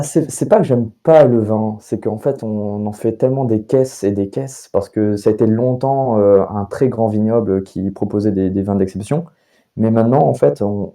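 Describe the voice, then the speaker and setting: Young man, very dark voice, lowish pitch, halting, repeats words, casual podcast guest. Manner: rambling, frequent hesitations and repeated words. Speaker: guest bartender and sommelier.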